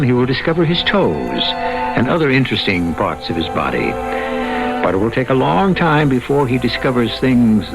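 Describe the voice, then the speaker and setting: A man hosting a 1970s radio drama, narrating in a measured, deliberate storyteller's manner. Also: medium pitch, gravelly, rough recording. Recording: old radio broadcast, loud music. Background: loud music.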